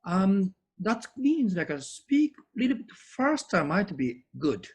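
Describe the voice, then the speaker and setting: A man's voice: high voice, very animated, slow pace, breathy edge. Japanese-accented man, polite and hesitant. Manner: polite, hesitant, frequent fillers. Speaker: interviewer. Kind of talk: casual video call interview.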